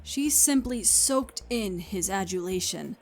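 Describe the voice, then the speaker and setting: A woman's voice: mid-range voice, animated, slow, a little mellow. A woman reading scripted story narration as the narrator of an audio play. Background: music.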